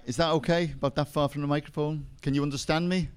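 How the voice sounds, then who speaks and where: A man's voice: fairly high pitch, fairly even intonation, fast, clean tone. A man with a Liverpool accent giving a seminar talk on faith to a live audience, with self-deprecating jokes and asides. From England. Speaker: seminar speaker.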